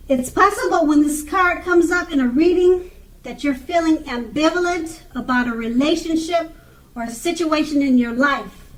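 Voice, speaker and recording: A woman giving an unscripted tarot card reading in a halting way, pausing and repeating her phrases. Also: high-pitched, poor audio. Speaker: tarot reader.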